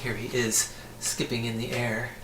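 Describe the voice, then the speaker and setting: A man reading a children's story aloud in an expressive narrating style. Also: medium pitch, normal speed. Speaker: audiobook reader. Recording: roomy, slightly distant, faint hiss.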